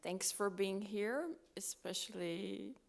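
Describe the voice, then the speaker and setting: A woman from Bulgaria moderating a live panel, introducing it to an audience in a hesitant, halting way with many ums. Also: medium pitch, gravelly.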